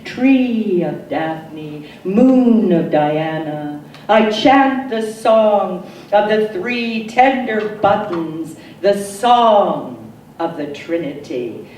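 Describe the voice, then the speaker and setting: A woman poet reading her own work as a dramatic recitation, solemn and deliberate, with emphatic phrasing and pauses. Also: mid-range voice, expressive, a little mellow. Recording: echoey, distant mic, noisy room.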